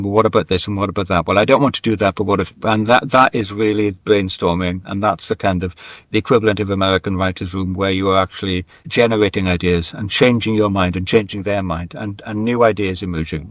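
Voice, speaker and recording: A man's voice: on the low side, no room sound. A mature man telling anecdotes conversationally as an interview guest, acting out bits of dialogue and repeating words for emphasis, on slightly muffled audio. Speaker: writer and script editor interviewed as guest.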